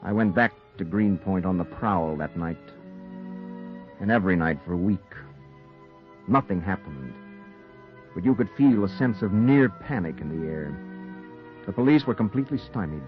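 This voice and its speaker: An actor playing a driven, guilt-ridden newspaper reporter, intense and brooding, in a 1940s noir radio drama. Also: male voice, deep voice, expressive, moderate pace, long pauses. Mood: grim narration. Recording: muffled vintage 1940s radio recording, music behind.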